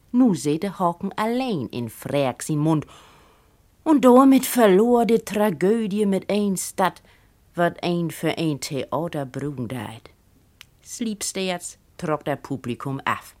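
A woman with a Northern German Low German accent telling a first-person literary story expressively. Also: darkish tone.

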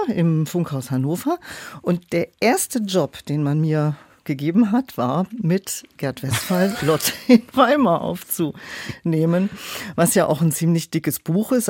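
A woman's voice: low voice, a little mellow, no room sound. Considered middle-aged woman, dry studio sound. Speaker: audiobook producer, podcast guest. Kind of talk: casual interview storytelling.

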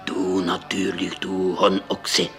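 Male sailor for radio drama: low, slow-spoken, blunt and suspicious, bossing his shipmate. Music underneath. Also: completely flat delivery. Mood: bossy, exasperated. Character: gruff, distrustful sailor, bossy and plain-spoken. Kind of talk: German radio play acting.

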